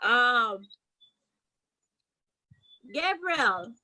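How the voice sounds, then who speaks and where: A woman's voice: on the high side, big pitch swings, very slow, pushed and bright. A woman, a school principal leading a question-and-answer chat with children, prompting them and repeating questions and answers back.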